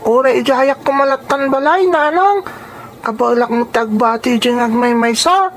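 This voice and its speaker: A woman's voice: high voice, a little slow, soft background music. A woman playing a concerned, obedient young child in a radio drama.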